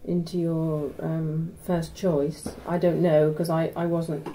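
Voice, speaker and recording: A woman's voice: sits low, level tone, slow pace, dark tone, slight background noise, rough recording. An older woman with a Norwich accent, a retired university secretary recalling her working years in a chatty, reminiscing way. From Norwich, England. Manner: chatty, reminiscing, trailing off into asides. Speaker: interviewee, former university secretary. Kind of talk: oral history interview.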